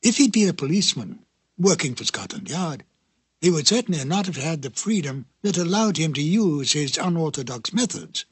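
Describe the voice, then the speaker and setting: A man giving scripted narration in a measured, formal manner. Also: a little high, very animated, slightly rough, dry recording. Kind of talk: scripted documentary-style narration.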